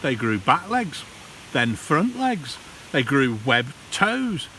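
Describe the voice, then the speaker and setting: A man reads a storybook to children in a slow, theatrical voice that swings widely in pitch. He pauses for drama like a practised primary teacher. The recording is distant from the mic, with some hiss. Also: big pitch swings, sharp tone. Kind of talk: expressive children's storybook read-aloud. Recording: distant mic, hissy background noise.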